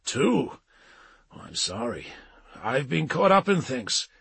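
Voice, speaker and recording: A man's voice: medium pitch, big pitch swings, slow, gravelly, a bit bright, slightly rough, no room sound, voice pushed up, heavily acted. A man voicing a breezy, blunt and persuasive male psychic agent in an audiobook. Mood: apologetic. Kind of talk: audiobook character dialogue.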